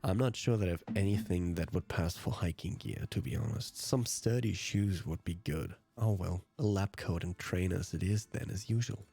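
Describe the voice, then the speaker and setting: A man's voice: sits low, normal speed, gravelly, few pauses, warm tone, husky. A man whose native language is German, hosting a let's play and reading visual novel narration aloud.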